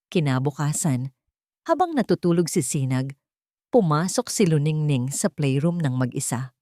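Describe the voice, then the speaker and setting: A woman's voice: low-pitched, lively intonation, moderate pace, warm tone, dry recording, studio quality. A woman with a Filipino accent narrating a scripted, dramatic story.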